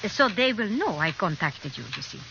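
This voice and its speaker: An actress playing a cool, cunning Spanish Republican agent in an old radio drama, speaking in a poised, formal, deliberate way. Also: female voice, medium pitch, sing-song, pushed and bright. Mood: smooth, coaxing. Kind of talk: 1940s radio drama acting. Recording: dull, noisy old radio recording.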